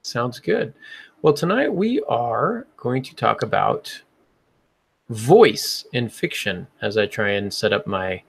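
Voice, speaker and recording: A man's voice: medium pitch, big pitch swings, takes it slowly, gravelly, breathy edge. A man hosting a podcast, talking casually in a chatty, explanatory way. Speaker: podcast host.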